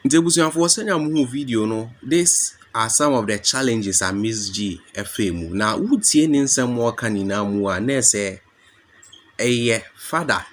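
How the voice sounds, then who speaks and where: A man's voice: on the low side, fairly bright tone. A Ghanaian man presenting a gossip channel, speaking to his viewers as family in English mixed with Twi. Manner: addresses viewers as family, explains and praises. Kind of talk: commentary mixing English and Twi.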